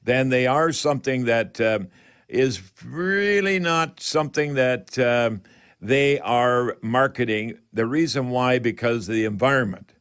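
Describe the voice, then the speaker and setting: A man hosting a radio call-in show, giving home improvement advice in a halting, folksy, rambling way. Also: mid-range voice, a bit bright, clear, resonant tone. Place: radio studio.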